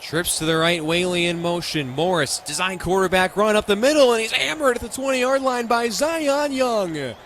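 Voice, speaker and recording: A fairly high-pitched American man works as a radio play-by-play announcer at a football game. He delivers the action in short, clipped phrases. Outdoor stadium noise is audible behind him. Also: normal speed, fairly bright tone. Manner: clipped present-tense phrases.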